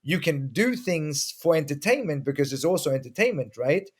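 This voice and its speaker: A man's voice: on the high side, animated, normal speed, husky. A middle-aged man from Sweden, a guest explaining self-defense in a casual interview with earnest stories and frequent restarts. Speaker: guest, martial arts instructor.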